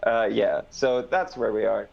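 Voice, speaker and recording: A young man narrating as game master in a casual voice chat, relaxed and hesitant, with many fillers. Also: on the low side, unhurried, creaky, highs cut off.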